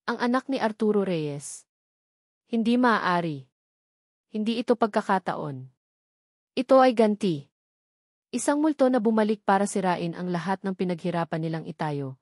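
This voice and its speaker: A Filipino woman narrates a dramatic love story with a solemn delivery. Her voice sits at a medium pitch, recorded dry and close to the microphone. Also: a little mellow, no echo.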